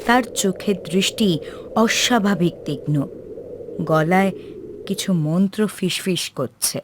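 A woman's voice: mid-range voice, a little slow, noisy background. A woman narrating a scripted folk tale for a cartoon as its storyteller. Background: music.

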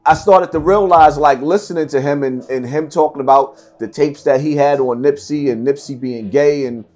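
A man's voice: fairly high pitch, normal speed, darkish tone, soft background music. A middle-aged man giving blunt, emphatic interview answers, repeating phrases for stress. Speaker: podcast guest. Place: seated at table before branded backdrop, indoors.

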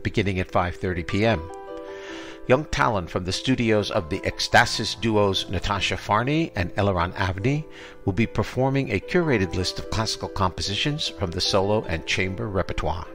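A man's voice: lowish voice, a bit bright. An older American man hosting an arts podcast, announcing and interviewing.

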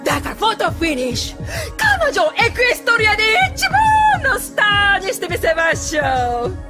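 Very high, flamboyant fashion photographer pony. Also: female voice, very animated, normal speed, music in the background. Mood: showy, excited. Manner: showy, oddly broken grammar. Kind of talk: dubbed cartoon acting.

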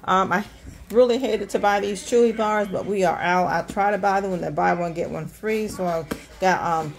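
A middle-aged woman hosting a casual grocery haul vlog at her kitchen counter, talking fast and chatty with lots of ums while filming on a handheld phone. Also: mid-range voice, creaky, a little hiss or hum. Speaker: host of a budget vlog. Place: indoors at a home kitchen counter. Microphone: handheld phone filming items.

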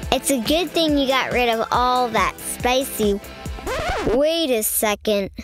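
A very high-pitched, youthful female voice reads a picture book aloud for children. She takes her time with each line and delivers it expressively. Music plays clearly behind her. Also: slow. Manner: expressive, addressing young listeners. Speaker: children's storytime reader.

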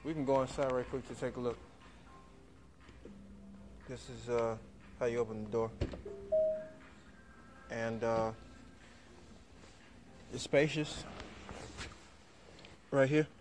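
A man's voice: mid-range voice, music in the background. A man giving a casual walkaround of a car in a showroom, reading out the specs with plenty of fillers. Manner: flat, unhurried, reads specs aloud with fillers. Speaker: car vlogger.

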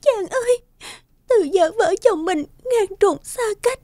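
An actress playing a gentle, faithful young princess and wife in a cartoon folk tale, speaking tenderly and pleadingly. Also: female voice, very high-pitched, expressive, slow pace, no room sound, clean studio sound, exaggerated voice. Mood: tearful pleading. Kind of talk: animated folk-tale dialogue.

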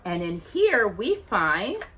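A middle-aged woman hosting a children's storytime, reading aloud in an expressive, sing-song way to young listeners. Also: medium pitch, slow. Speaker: storytime host. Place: indoors at home by a brick fireplace.

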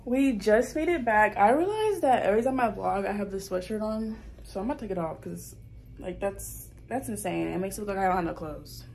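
A woman's voice: mid-range voice, fairly quick, gravelly, darkish tone. A woman of about twenty with an American accent, chatting casually and slangily in a vlog, talking to herself and her viewers. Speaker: college student vlogger. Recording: very noisy handheld vlog audio.

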